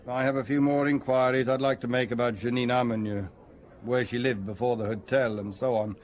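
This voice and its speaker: Actor as polite, businesslike police detective. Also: male voice, mid-range voice, fairly even intonation, fairly quick, natural voice. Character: dutiful, businesslike male police detective, subordinate officer. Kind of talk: radio drama dialogue.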